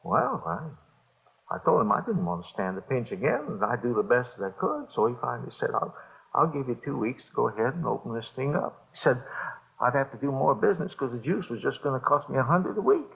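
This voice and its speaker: Nervous, stammering American bookmaker. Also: male voice, medium pitch, sing-song, creaky. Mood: nervous, defensive. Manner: halting, stammering, repeats himself. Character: scared small-time bookmaker under police questioning, nervous, pleading. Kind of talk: 1950s radio drama acting. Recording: dull vintage radio recording.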